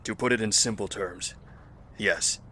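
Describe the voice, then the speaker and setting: A man playing a calm, guarded health official in a zombie outbreak audio drama, speaking in a measured, formal way. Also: on the low side, a little hiss or hum. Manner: measured, formal, matter-of-fact.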